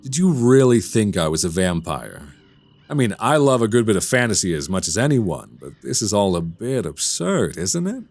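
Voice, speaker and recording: An actor in a radio drama playing a sinister, condescending man who is secretly a werewolf, speaking softly and slowly with menace. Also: on the low side, sing-song, no room sound. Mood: amused, condescending. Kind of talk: immersive radio drama acting.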